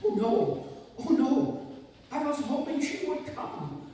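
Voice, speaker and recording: A performer playing a flustered, muddled psychiatrist in old-time radio comedy style, speaking haltingly. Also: high voice, lively intonation, warm tone, full character voice. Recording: echoey hall from far off, noisy.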